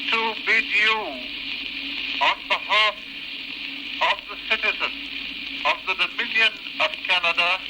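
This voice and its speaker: A man's voice: high-pitched, steady tone, measured pace, pauses often, heavy background noise. A man giving a formal public address in a ceremonious, declamatory way on an early phonograph recording.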